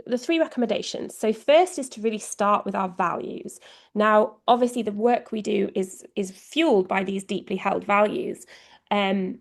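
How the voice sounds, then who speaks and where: A woman's voice: medium pitch, vocal fry. A woman with an English accent giving an informal online presentation, chatty and self-deprecating, with many fillers. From England. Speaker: guest speaker, communications co-lead.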